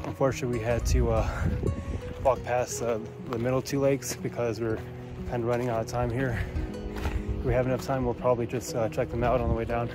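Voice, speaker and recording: A young man from Texas filming a hiking vlog outdoors on a high mountain trail, holding his phone at arm's length. He is tired from the altitude and speaks in an easygoing way, with frequent fillers. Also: level tone, brisk, music in the background. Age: young adult. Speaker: travel vlogger. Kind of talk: casual hiking vlog with voice-over narration. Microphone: phone camera held at arm's length.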